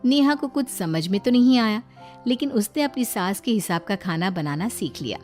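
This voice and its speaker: A woman's voice: medium pitch, animated, moderate pace, darkish tone. A woman reading scripted narration for a moral story as its narrator. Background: faint music.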